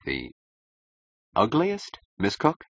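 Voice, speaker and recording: A man reading a novel as an earnest young male architect who flatters and is easily offended. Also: low voice, quick pace, husky, dry recording, highs cut off, exaggerated voice. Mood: eager, puzzled. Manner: flattering, earnest, indignant when rebuffed.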